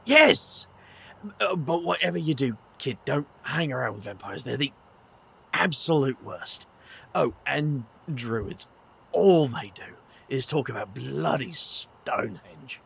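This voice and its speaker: Gravelly, weary British magician. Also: male voice, medium pitch, expressive, slow pace, fairly bright tone, full character voice. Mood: wry, animated. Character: weary, kindly middle-aged British magician, wry. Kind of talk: audio drama dialogue.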